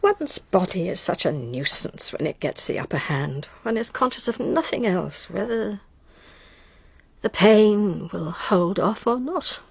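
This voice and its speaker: Frail posh english widow, very breathy n creaky, slow n drifting, radio play. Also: female voice, medium pitch, big pitch swings, no room sound, exaggerated voice. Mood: weary, wistful. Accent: upper-class English. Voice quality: breathy, weak, croaky. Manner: halting, drifting. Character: frail, ailing upper-class widow, bitter and wandering. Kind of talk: radio drama acting.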